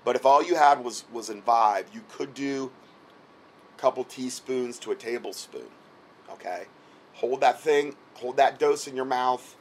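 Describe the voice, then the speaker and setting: A man's voice: mid-range voice, measured pace, a little hiss or hum. A man who is losing his voice gives casual, repetitive spoken advice late at night. He keeps checking in with the listener and often repeats words as he goes. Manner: repeats himself, frequent "okay?" check-ins. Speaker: health product seller giving advice. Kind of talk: casual instructional talk.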